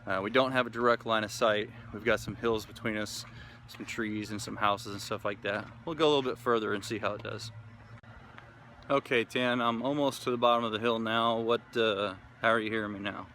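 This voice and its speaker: A man's voice: on the low side, steady tone, brisk, sharp tone, a little hiss or hum. An American man from the Kansas City area giving a casual, rambling gear review and field test, full of fillers and restarts. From Kansas City area, USA. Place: outdoors in wooded hilly area.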